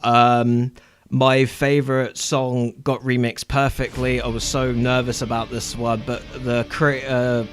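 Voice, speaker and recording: A man chatting casually as co-host of a gaming podcast, often pausing to search for his words. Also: mid-range voice, level tone, soft background music.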